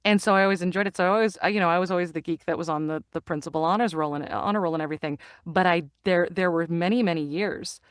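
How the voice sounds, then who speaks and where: A woman's voice: fairly low pitch, very fast, hardly any pauses, smooth, no echo, clean studio sound. A woman in her forties, an actor and narrator, chatting openly in an interview and laughing often. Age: 40s. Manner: laughs often, animated and open. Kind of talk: casual interview chat.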